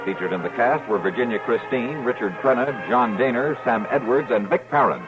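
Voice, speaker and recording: A man's voice: mid-range voice, animated, fairly quick. A man announcing in formal, dramatic 1950s radio style, reading from a script. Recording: dull old radio recording. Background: music.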